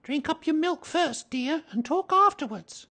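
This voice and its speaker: A narrator voicing a motherly female kangaroo from a storybook, fussing and gently reproachful. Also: sits high, animated, unhurried, voice pushed up, heavily acted.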